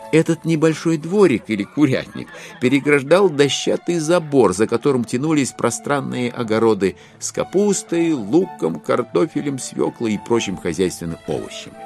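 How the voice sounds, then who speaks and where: A man narrating a classic literary story in an expressive, measured storytelling manner. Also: medium pitch.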